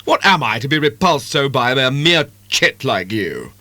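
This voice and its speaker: Man acting an arrogant gentleman seducer. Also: mid-range voice, sing-song, normal speed, no echo, pitched above the performer's own voice. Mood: indignant, insistent. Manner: insistent, haughty.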